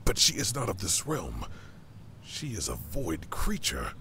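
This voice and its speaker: An actor playing a wary, cautioning brother god in an audio drama, grave and deliberate in manner. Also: male voice, sits low, huge pitch swings, sharp tone, a little hiss or hum, full character voice.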